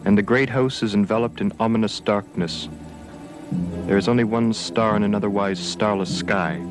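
An actor as the grave, ominous narrator of a gothic horror television serial, on an old soundtrack. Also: male voice, fairly low pitch, fairly even intonation, moderate pace, natural voice, poor audio. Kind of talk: gothic soap opera opening narration. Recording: old TV soundtrack under loud music.

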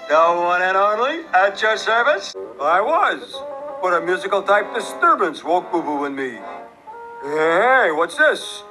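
Bright, bouncy cartoon bear. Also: male voice, sits high, sing-song, unhurried, soft background music, full character voice, rough recording. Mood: cheerful, curious. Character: cheery, self-important cartoon bear.

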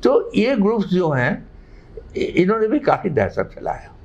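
A man, explaining things haltingly but emphatically as an interview guest. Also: sits high, huge pitch swings, a little mellow, breathy edge. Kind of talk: unscripted interview answers. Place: living room indoors. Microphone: lapel mic. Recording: slightly muffled sound.

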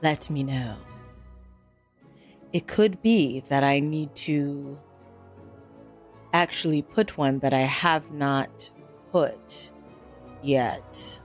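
A woman livestreaming as a theatrical, witchy horror hostess, with dramatic, drawn-out, formal phrasing and a coy temper. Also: deep voice, exaggerated voice. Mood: measured, welcoming. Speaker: game streamer. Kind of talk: livestream chat in a put-on horror persona. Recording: music behind the voice.

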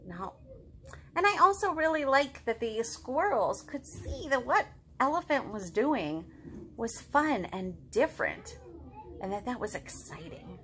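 A woman talks to children during a picture-book storytime. Her voice is bright and very lively in pitch, and she asks simple questions at an unhurried pace. There is faint room noise. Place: living room at home.

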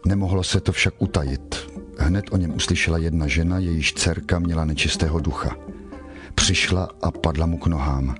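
A man with a deep voice narrates a scripture text. His delivery is measured and solemn, clearly scripted, with music playing behind him.

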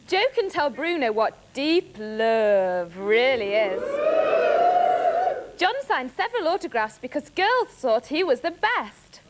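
Playful high-voiced game show co-host. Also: female voice, very animated, slow pace, noisy background. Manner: playful, flirty teasing. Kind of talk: scripted game show introductions. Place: TV studio stage.

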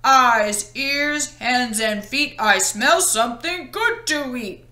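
A woman voicing a fierce, hungry male giant who shouts his chant, in an echoey room. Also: high-pitched, expressive, takes it slowly, exaggerated voice. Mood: booming.

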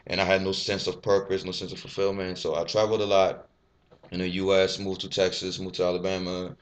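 Deep-voiced fast-talking young man vlogging. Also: little pitch movement. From Ivory Coast. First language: French. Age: 20s. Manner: candid, rambling, swears freely, gestures a lot. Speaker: fitness vlogger. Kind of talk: casual personal vlog to camera. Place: small bare indoor room. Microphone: webcam at desk.

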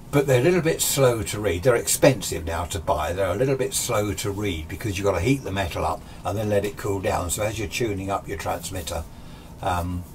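Casting: man, 60s, English accent, deep pitch, chatty rambling workshop explainer, audible background noise. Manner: rambling, conversational, self-correcting. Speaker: amateur radio and vintage radio video host. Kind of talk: informal explainer to camera. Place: small cluttered indoor radio workshop. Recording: clear background noise, room ambience.

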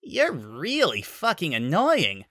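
A man's voice: fairly high pitch, huge pitch swings, slow pace, bright, forceful tone, dry recording, clean studio sound, voice pushed up, heavily acted. A man voicing a sarcastic, aggressive female cat mercenary with a biting, scornful manner in a story reading. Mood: haughty, cruel.

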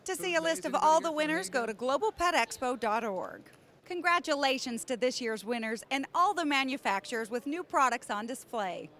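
A woman in her thirties hosting a trade show news report with crisp, upbeat broadcast delivery, speaking into a handheld mic on a convention floor. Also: sits high, animated, normal speed, a bit bright. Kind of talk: scripted news presenting.

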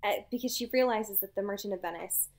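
A woman co-hosting a podcast, casually recapping a story in an animated way and acting out bits of dialogue. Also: medium pitch, fast. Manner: animated retelling, acts out dialogue. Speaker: podcast co-host. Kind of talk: casual chat recapping a novel.

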